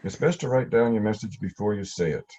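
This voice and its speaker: A male instructor with a mellow, husky voice reads prepared teaching material in a plain, measured manner. The delivery is instructive and straightforward. The recording is dull and lo-fi. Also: on the low side. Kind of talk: scripted instructional narration. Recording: dull, lo-fi audio.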